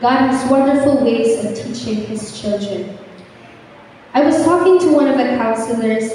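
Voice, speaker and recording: A woman, a camp counselor giving an earnest, measured testimony that encourages the church. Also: fairly high pitch, mellow, slight background noise. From Philippines. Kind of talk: prepared church testimony with exhortation. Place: large church hall. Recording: echoey hall, mic from far off.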